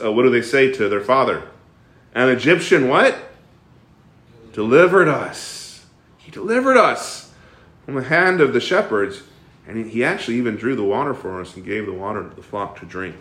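A man leading a Bible study, explaining a passage with lively questions to the class and frequent fillers and restarts. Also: mid-range voice, reverberant. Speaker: bible teacher. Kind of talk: interactive bible study teaching.

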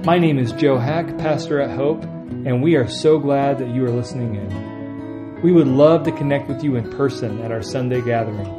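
A man's voice: medium pitch, moderate pace. A man, a pastor, reading a scripted welcome to a podcast. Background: plucked guitar music.

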